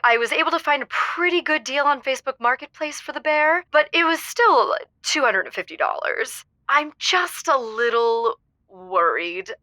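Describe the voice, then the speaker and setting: A woman playing an earnest, animated wife caught up in a prank war, calling a comedy advice show. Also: a little high, dry recording. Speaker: caller. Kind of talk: improvised comedy phone-in storytelling.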